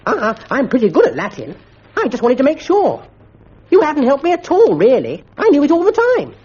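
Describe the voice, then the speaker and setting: Squeaky stammering fat schoolboy, greedy and boastful, pitch jumping wildly; dull husky recording. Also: male voice, wildly swooping pitch, a little fast, a little mellow, heavily acted.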